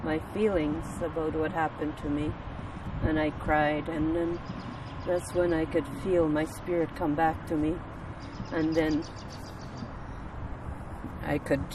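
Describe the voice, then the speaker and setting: A man's voice: on the high side, flat delivery, slow pace, pauses often. Earnest man, distant mic, street noise. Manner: earnest, emphatic. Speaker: residential school survivor. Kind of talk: interview testimony.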